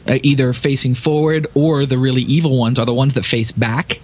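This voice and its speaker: A man's voice: medium pitch, steady tone, a little fast, slightly rough. A man in a casual gaming podcast discussion, explaining strategy in a detailed way. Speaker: podcast panelist.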